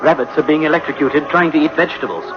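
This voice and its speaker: Music runs clearly behind a male actor as a grim chronicler on a dull old radio recording. His voice is somewhat high, and he narrates disaster with portentous drama. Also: quick pace, close to an everyday voice.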